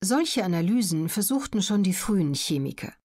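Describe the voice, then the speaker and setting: A woman reads scripted educational narration as an audiobook narrator, measured, factual and clearly articulated. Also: mid-range voice, very animated, a little mellow.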